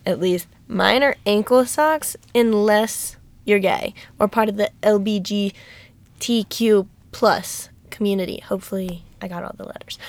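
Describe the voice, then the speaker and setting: A young woman from Alabama with a high-pitched voice, hosting a casual podcast and rambling with giggles. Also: dry recording. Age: young adult. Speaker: podcast host.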